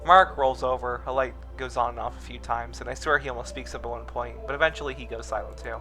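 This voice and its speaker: A man's voice: medium pitch, steady tone, quick pace. A man reading visual novel narration expressively, with casual, joking asides. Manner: breaks into laughter and joking asides. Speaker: reader in a group let's-read of a visual novel. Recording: music playing behind the voice.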